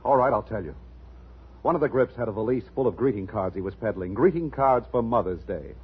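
Casting: bitter, impatient twenties husband, 1950s radio play. Very husky, animated pitch, brusque, lo-fi sound. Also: male voice, a little fast. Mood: bitter, heated. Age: 20s. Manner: brusque, swinging from sullen to heated outbursts. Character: bitter young actor husband, resentful, cold, impatient. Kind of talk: 1950s radio drama acting. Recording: dull, lo-fi 1950s radio recording.

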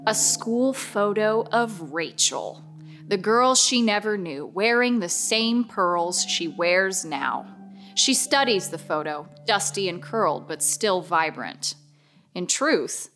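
A woman reads as a solemn female narrator of a scripted horror drama. She has a medium-pitched voice and tells the tale slowly, with measured suspense. Music runs clearly underneath. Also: fairly bright tone.

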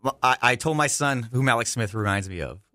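A man's voice: mid-range voice, animated, brisk, bright, forceful tone, no echo, studio quality. A man chatting casually about baseball as a sports talk co-host, eager and confident in his opinions.

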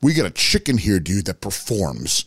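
A man's voice: sits low, big pitch swings, moderate pace, fairly bright tone, breathy edge, dry recording, studio quality, voice pushed down, full character voice. A man doing a blustering, hotheaded wrestling star who ends nearly every phrase with brother or dude, swearing and indignant. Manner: says brother and dude constantly, swearing, agitated. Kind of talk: improvised comedy bit.

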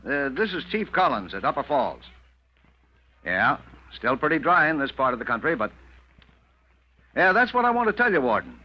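An eager folksy country fire chief, really animated, dull old radio drama sound. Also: male voice, a little high, normal speed, long pauses. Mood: eager, proud. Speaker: radio actor. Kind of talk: 1940s radio drama acting. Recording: dull 1940s radio recording.

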